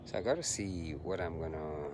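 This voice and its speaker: Low-voiced guy talking slow and soft on a jog, outdoor mic with steady background noise. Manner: soft-spoken, musing, halting with pauses. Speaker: vlogger and long-distance runner. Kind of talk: casual reflective vlog while out jogging. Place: outdoors on a park trail. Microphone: handheld camera, self-filmed.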